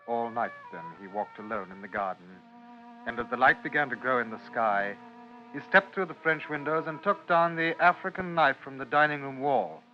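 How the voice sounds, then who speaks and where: A man narrates an old radio drama in a mid-range voice. His delivery is measured and literary, on a dull recording with some music. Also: medium pitch, animated. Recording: muffled vintage radio recording.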